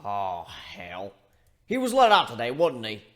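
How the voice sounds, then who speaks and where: Gruff pirate shipbuilder played by a man, grumbling and rough-spoken, very lively pitch, for a dramatic fan fiction reading. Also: measured pace, exaggerated voice. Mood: exasperated. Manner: grumbling, rough colloquial speech.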